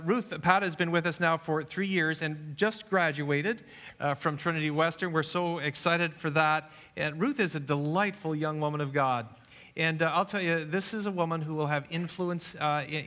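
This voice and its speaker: A man's voice: a little high, steady tone, normal speed, sharp tone. Earnest man speaking in a church hall. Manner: earnest, repeats and restarts words. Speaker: pastor. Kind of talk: church service introduction.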